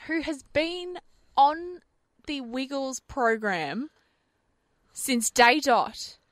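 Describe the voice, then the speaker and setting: Woman, radio host, bright tense voice, a bit high, dry studio sound, dramatic and expressive. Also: extremely slow, dry recording. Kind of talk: casual radio banter.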